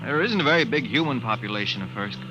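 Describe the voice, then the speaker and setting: An actor playing a deferential, guarded office chauffeur in an old-time science fiction radio drama. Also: male voice, medium pitch, animated, fast talker, highs cut off, natural voice. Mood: informative. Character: deferential, knowing male office chauffeur on alien planet. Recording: noisy old radio recording.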